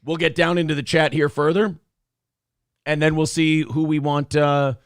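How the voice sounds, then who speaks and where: A man hosting a radio show, reading out chat messages in a hoarse, raspy voice, rambling with restarts and frequent swearing. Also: on the high side, little pitch movement, normal speed, no room sound, studio quality. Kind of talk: casual chat.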